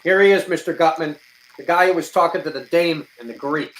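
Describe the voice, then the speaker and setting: A man's voice: a little high, fairly quick, fairly bright tone, soft background music, close to an everyday voice, rough recording. An actor playing a sad-faced gunman, henchman to a fat boss, in old-time radio style. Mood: curt.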